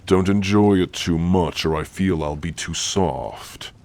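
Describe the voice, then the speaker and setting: Man acting deep, slow, stern orc warrior-healer. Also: a little mellow. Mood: gruff, guarded. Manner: slow, formal, commanding. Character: stern, gruffly caring male orc warrior-healer, chief's son. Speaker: roleplay voice actor. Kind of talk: ASMR roleplay.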